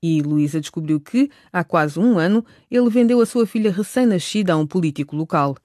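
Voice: medium pitch, lively intonation, brisk, darkish tone, no room sound, clean studio sound. A narrator reading a scripted recap for a radio drama.

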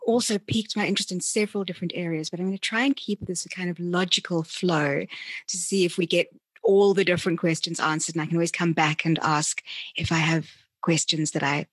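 Husky woman interviewer, close dry sound. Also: on the low side, steady tone, fairly quick, dry recording. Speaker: interviewer, host. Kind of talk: conversational interview.

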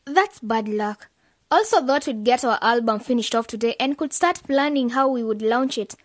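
A woman's voice: on the high side, moderate pace, dry recording, studio quality, lightly acted. An actress playing a confident, idealistic young woman leading a youth club in a radio drama, with a persuasive, upbeat manner. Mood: matter-of-fact.

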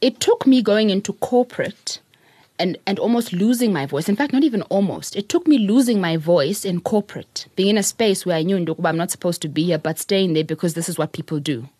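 A middle-aged South African woman, a public speaker, telling her story in an interview in an earnest, reflective way, with soft laughs. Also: medium pitch, expressive, brisk, husky, no room sound. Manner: earnest, repeats words, laughs softly while telling stories.

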